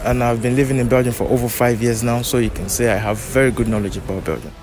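Young nigerian guy on a handheld mic outdoors, promo pitch, light music under it. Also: fairly even intonation, quick pace. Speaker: business agent in Belgium. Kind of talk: presenting to camera. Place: outdoors by an office building.

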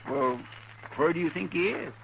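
An actor playing a folksy, deferential, grumbling deputy sidekick with a rural Western American drawl in a 1950s radio western. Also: male voice, a little high, moderate pace, creaky, a bit bright. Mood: questioning, deferential. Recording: noisy vintage 1950s radio recording.

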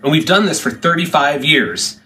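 A man in his sixties delivers a scripted promotional announcement. His voice is on the high side and quite bright, with faint noise and room ambience behind it. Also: animated, moderate pace, low-quality recording. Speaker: festival board president. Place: indoor room by a large window.

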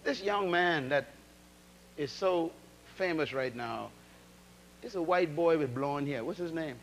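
High-pitched, animated middle-aged preacher man. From Bahamas. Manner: animated, call-and-response, sudden loud and soft swings. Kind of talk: preaching a sermon. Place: large church auditorium with congregation. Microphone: handheld mic.

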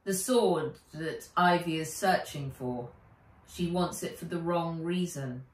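A woman's voice: deep voice, slow pace. A woman voicing a stern, protective man in a children's adventure story, firm and commanding. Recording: roomy, distant home camera sound.

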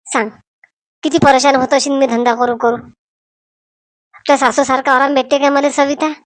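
A gossipy, scheming village housewife from a comedy cartoon, acted out by a woman. Her voice sits fairly high and is recorded close and dry. She talks in colloquial Varhadi Marathi with dramatic household flair. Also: little pitch movement, normal speed, no echo, close to an everyday voice. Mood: aggrieved. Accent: Varhadi Marathi from Vidarbha.

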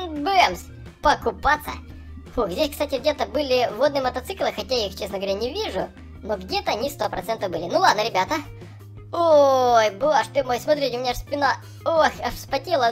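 A man voicing a cheerful young clown for small children, gushing and chatty as he narrates gameplay. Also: extremely high pitch. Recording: voice over background music. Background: music.

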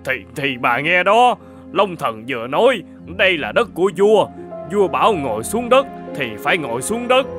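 Middle-aged court official from a folk-tale cartoon. The voice is rather high and very animated. He is pompous and blustery, with sharp brightness and exclamations. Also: moderate pace, faint music behind. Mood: alarmed.

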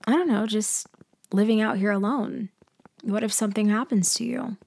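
A woman playing a cunning female mafia leader in an ASMR roleplay, speaking softly and hesitantly. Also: mid-range voice, fairly even intonation, normal speed, gravelly. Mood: feigned helplessness. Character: cunning female mafia leader feigning a helpless stranded motorist.

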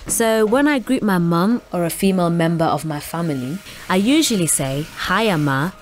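A woman with an accent mixing Zimbabwean and South Yorkshire, asking an interviewer's questions in a clear, measured way. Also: lowish voice, expressive. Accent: Zimbabwean and South Yorkshire mix.

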